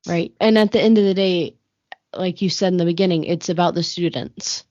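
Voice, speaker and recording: Brief: woman host, low-pitched, affirming, dry lo-fi recording. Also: fairly even intonation, fairly quick, a little mellow, no echo. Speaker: interviewer, host. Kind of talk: conversational interview.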